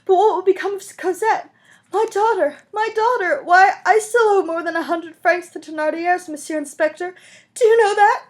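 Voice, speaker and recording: Woman acting a high-pitched, desperate begging mother. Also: normal speed. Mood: frantic pleading. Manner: pleading, sobbing, agitated. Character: desperate destitute mother begging tearfully for mercy.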